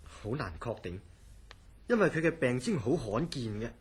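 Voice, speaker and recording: Horror radio play: male hospital director acted by a man, heavily husky, expressive pitch, grave and probing, Hong Kong accent. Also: normal speed. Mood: grave, cautious. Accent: Hong Kong Cantonese. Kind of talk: Cantonese horror radio drama acting.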